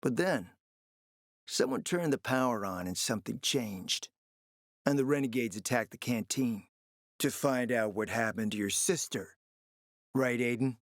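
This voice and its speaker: A man playing a suave, flirtatious, scheming spymaster in a video game, with a smooth, theatrical, sardonic and teasing manner. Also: medium pitch, expressive, unhurried, long pauses, dry recording, studio quality. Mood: conspiratorial. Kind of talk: video game character acting.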